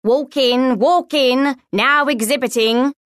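Lady doing a loud stout showman guy for a storybook, big barker patter, kinda brusque, raised pitch, dry recording. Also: takes it slowly, no echo. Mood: booming, enticing. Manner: booming, theatrical patter.

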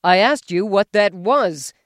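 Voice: mid-range voice, lively intonation, takes it slowly, no room sound, clean studio sound, voice pushed up. A reader voicing a demanding, hysterical middle-aged husband who whines and accuses. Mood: shrill complaint. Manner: complaining, accusing, rising to yells.

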